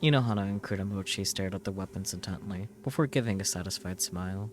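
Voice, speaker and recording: A man streaming a visual novel, reading its narration aloud in a deliberate storytelling way. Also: deep voice, slight background noise.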